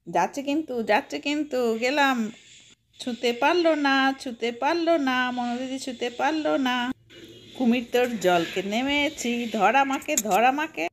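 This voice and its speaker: A woman's voice: high-pitched, moderate pace, lightly acted. A woman voicing playful, squabbling village children with a childish, repetitive way of talking in a doll-play story. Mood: teasing. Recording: noisy homemade recording.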